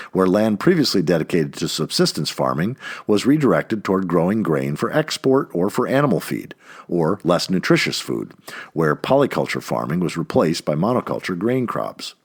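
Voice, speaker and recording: Male narrator for nonfiction essay reading: low-pitched, highly animated intonation, emphatic phrasing. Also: moderate pace, vocal fry, breathy edge. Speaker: audiobook-style reader of an essay.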